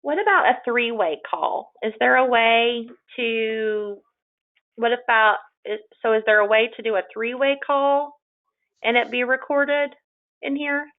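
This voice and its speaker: A woman's voice: fairly high pitch, a little slow. A woman hosting a webinar informally, often rephrasing herself in a conversational, hesitant way. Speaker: webinar host and moderator. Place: online or phone conference call. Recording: tinny, phone-like call audio.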